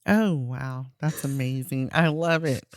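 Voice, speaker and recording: A woman hosts a casual interview in a low voice at a slow pace. She is encouraging, offering short affirmations and simple questions, and the sound is close and dry. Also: no echo. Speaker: podcast host.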